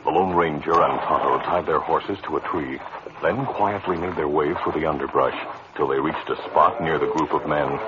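A very noisy, muffled vintage radio recording carries a male narrator. His voice is deep, and he reads scripted western drama narration in mid-century broadcast style. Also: moderate pace.

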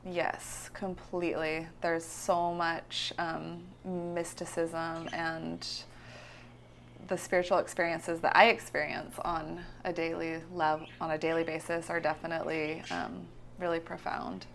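A woman's voice: low voice, flat delivery, measured pace, gravelly, a bit bright, slight background noise. A woman from California talking casually about her life and art in an interview, rambling with many fillers and restarts. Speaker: visionary artist guest. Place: her home.

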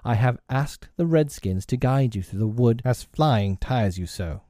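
Man voicing a bossy storybook boy leader, lively pitch. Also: measured pace, no room sound, clean studio sound, close to an everyday voice. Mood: cold. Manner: short, sharp, commanding. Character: bossy, stern boy leader, cold and commanding. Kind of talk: children's storybook narration.

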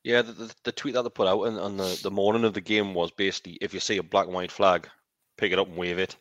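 A man's voice: low voice, little pitch movement, fast talker, a bit bright, dry recording, dull audio. A man hosting a football podcast in a rambling, casual way, laughing easily. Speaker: podcast host. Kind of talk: casual chat.

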